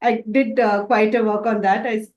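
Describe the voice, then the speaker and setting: A woman's voice: fairly high pitch, fairly even intonation, moderate pace, roomy sound, lo-fi sound. A woman from Dubai answering interview questions about her makeup work, hesitant and full of fillers and restarts. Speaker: makeup artist student.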